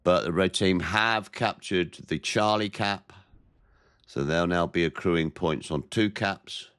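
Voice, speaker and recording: A man's voice: deep voice, a bit bright, clear, resonant tone, no echo, clean studio sound. Nasal man with a head cold. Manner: measured, plain-spoken play-by-play. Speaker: gameplay commentator. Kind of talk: naval game commentary.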